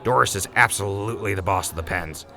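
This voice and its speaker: A laid-back young rancher chats in a fairly low but bright, forward voice, as a man plays him. He talks quickly and jokes easily, in a fan audio drama. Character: easygoing young male wasteland rancher.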